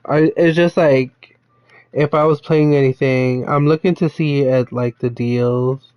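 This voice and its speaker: A man chatting casually at home, explaining things in loose, halting phrases. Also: medium pitch, steady tone, dry recording. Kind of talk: casual chat. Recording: dull lo-fi home recording.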